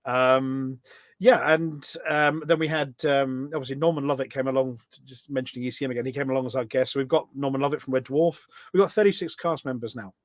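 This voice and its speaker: Guy on a podcast rambling away happily, keen storyteller, medium pitch, really dry sound. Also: fairly even intonation, brisk, no room sound. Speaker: podcast guest, game voice pack producer. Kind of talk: casual chat, anecdotal.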